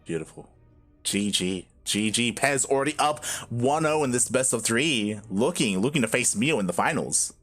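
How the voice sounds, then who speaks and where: A man's voice: medium pitch, lively intonation, normal speed, a bit bright. A man giving live game commentary in a chatty way, with lots of fillers and chuckles. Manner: conversational, filler-heavy, chuckling. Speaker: esports caster and producer. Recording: commentary over game music.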